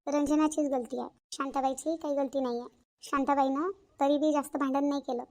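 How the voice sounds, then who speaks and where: A woman's voice: sits high, steady tone, fairly quick, a little mellow. A woman playing an outspoken village aunt in a cartoon family drama, with a Varhadi Marathi accent from Vidarbha and an emphatic, repetitive, colloquial way of talking. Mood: emphatic, indignant. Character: outspoken village aunt, sister-in-law, frank peacemaker.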